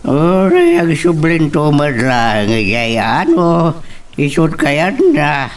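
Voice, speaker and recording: An actor as a high-pitched, caring father. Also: very animated, slow pace, exaggerated voice. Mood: relieved, hopeful. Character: caring, fussing rural father. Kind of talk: radio drama acting. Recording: slightly distant microphone.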